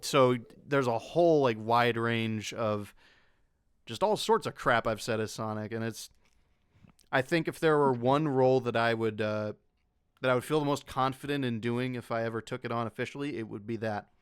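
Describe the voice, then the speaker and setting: Guy chatting on an online panel, really clear voice, no room echo, explains stuff kinda jokey. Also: medium pitch, moderate pace, studio quality. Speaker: voice actor and dub director on a convention panel. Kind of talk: casual panel chat. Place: online panel over voice call.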